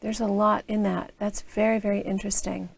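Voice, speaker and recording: American lady asking questions on a video call, encouraging, a bit of vocal fry. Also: medium pitch, flat delivery, brisk. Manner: encouraging, asks open questions. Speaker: interviewer. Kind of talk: reflective interview.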